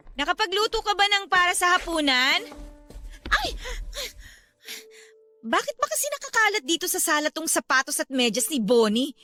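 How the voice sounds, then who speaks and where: Woman acting weary wife in pinoy radio drama, high clear-cut bright voice, expressive. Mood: weary, searching. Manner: polite, expressive. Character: weary, patient wife and mother of a sick child.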